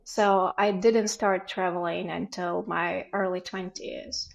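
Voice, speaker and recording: A woman's voice: lowish voice, steady tone, takes it slowly, gravelly. A woman in her forties with a Ukrainian accent, a podcast guest telling stories in casual chat and acting out family exchanges. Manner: storytelling, acts out family dialogue.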